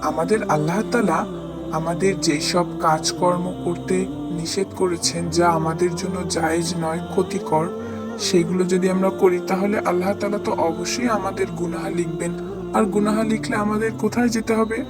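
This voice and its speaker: A high-pitched fatherly cartoon voice, played by a man. He is affectionate and patient, coaxing and instructing over loud music. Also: steady tone, normal speed, lightly acted. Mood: patient, instructive. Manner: coaxing, didactic. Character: affectionate, patient father teaching his child morals. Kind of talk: scripted cartoon dialogue.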